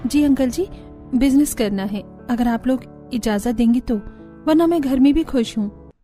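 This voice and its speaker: A woman playing a gentle, respectful and dutiful young bride in a cartoon story, speaking softly. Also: sits high, a little slow, soft background music. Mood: modest.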